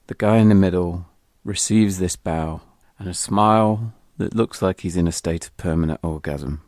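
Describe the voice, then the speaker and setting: A man's voice: low-pitched, normal speed, a little mellow, no echo, studio quality. A British man telling a scripted first-person story as an audio drama narrator, wry and confessional, with music behind him.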